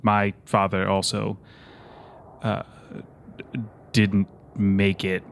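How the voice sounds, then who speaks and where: A man's voice: on the low side, completely flat delivery, takes it slowly, gravelly, slight background noise. A man playing an awkward, tense, overly polite analytical character in a tabletop game, hesitant and formally worded. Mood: awkward, gentle. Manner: hesitant, stiff, formal wording.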